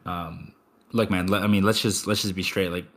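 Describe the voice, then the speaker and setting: A young man hosts his podcast in a loose, laid-back way, tossing in swears and plenty of ums. His voice sits very low. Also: level tone, normal speed, a bit bright. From Texas. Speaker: podcast host. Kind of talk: casual interview chat.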